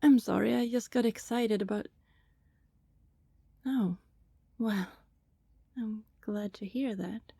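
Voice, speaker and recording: A woman playing a friendly, teasing vampire neighbour in an intimate ASMR roleplay, chatty and casual, with a soft breathy voice that often slips toward a whisper. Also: medium pitch, takes it slowly, pauses often, no room sound, full character voice. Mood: shy, warm. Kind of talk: ASMR roleplay, intimate one-sided conversation with listener.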